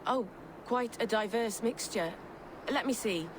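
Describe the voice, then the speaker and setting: Female actor: kindly herbalist, polite and soft-spoken, ambient noise behind. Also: on the high side, a little slow, lightly acted. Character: gentle older woman herbalist, kindly. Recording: game audio with clear ambient noise.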